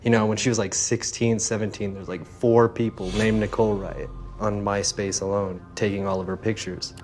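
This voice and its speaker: A man's voice: on the low side, normal speed. A man on a reality show talks in an easygoing way about his roommate, using plenty of "you know"s. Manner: easygoing, relaxed, lots of you knows. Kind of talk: casual chat on a TV show. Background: faint background music.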